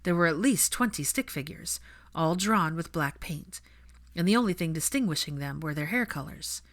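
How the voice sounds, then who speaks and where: Woman, lower-pitched voice, audiobook narrator, scripted fiction reading. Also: lively intonation, normal speed.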